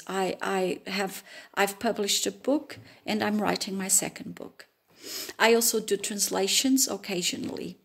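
Portuguese-accented woman in her fifties, slow and gravelly. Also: medium pitch. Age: 50s. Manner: earnest, hesitant with frequent fillers. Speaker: language teacher. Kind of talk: self-introduction video. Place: room with wooden ceiling, indoors.

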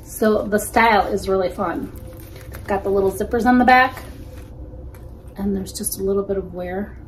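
Woman in her 40s, easygoing reseller vlog host, mid-pitched, faint room hum, desk-distance camera mic. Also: normal speed. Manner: chatty, easygoing, frequent ums. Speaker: reseller vlogger. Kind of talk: casual unboxing commentary. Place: small indoor room against a plain wall.

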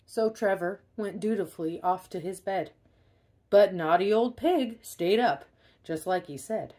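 A woman reading a children's picture book aloud in an expressive way, stressing key words. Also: mid-range voice, a little slow. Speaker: storybook reader.

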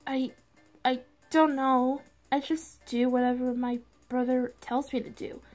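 A woman's voice: high voice, little pitch movement, unhurried, darkish tone. A woman voicing a timid, eager-to-please cartoon cup boy in an amateur comic dub, hesitant and apologetic. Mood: timid, hurt. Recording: background music under the voice.

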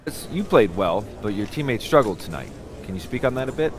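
Male press reporter, lowish pitch, animated, pressing, game cutscene, noisy room. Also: brisk. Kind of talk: naturalistic video game cutscene acting.

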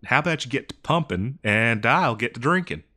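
A man's voice: medium pitch, expressive, normal speed, bright, forceful tone. Guy doing a folksy old west drifter, exasperated n rambly, voice swings up and down, comedy audio roleplay. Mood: impatient, coaxing. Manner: folksy, rambling, hesitant improvised delivery. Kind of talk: improvised comedic audio roleplay addressed to the listener.

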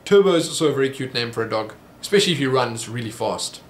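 A man's voice: mid-range voice, lively intonation, quick pace, roomy sound. A young man in his twenties with a South African English accent, rambling through viewer questions at his desk with plenty of ums and restarts. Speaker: vlogger answering viewer questions. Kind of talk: casual Q&A monologue. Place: small home office room. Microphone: webcam at desk.

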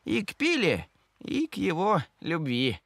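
A creaky, earnest cartoon creature. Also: male voice, sits high, huge pitch swings, a little slow, fairly bright tone, soft background music, heavily acted. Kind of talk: animated children's cartoon acting.

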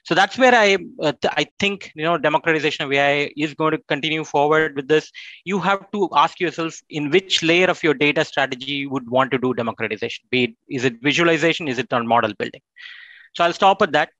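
A man explaining his views on a panel over a headset video call. His voice sits a little high for a man, and it tends to rise at the end into "right?" tag questions. The sound is dry and dull. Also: quick pace, fairly bright tone, dry recording. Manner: explanatory, with frequent "right?" tags.

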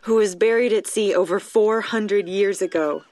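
A woman's voice: mid-range voice, heavy background noise, lightly acted. A young woman with an American accent playing an assertive TV reporter in a video game cutscene. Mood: poised, curious. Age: young adult. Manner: assertive, quick to question.

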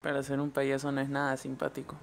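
A man's voice: medium pitch, completely flat delivery, quick pace, creaky, close to an everyday voice. A man voicing a teasing, easygoing sea-creature father in an amateur cartoon dub, dry and mocking. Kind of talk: amateur animated film dub.

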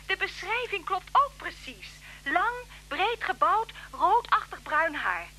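A woman's voice: extremely high pitch, big pitch swings, takes it slowly, pushed and bright, exaggerated voice. An actress playing an urgent, pleading woman friend on the telephone in a Dutch radio play. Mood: anxious, insistent. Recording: humming, noisy old radio recording.

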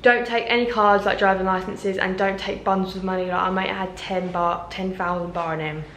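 A woman's voice: mid-range voice, fairly even intonation, brisk, fairly bright tone, slight background noise. A woman in her twenties with a British accent, chatting casually to a handheld camera as a travel vlogger. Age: 20s. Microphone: camera at arm's length.

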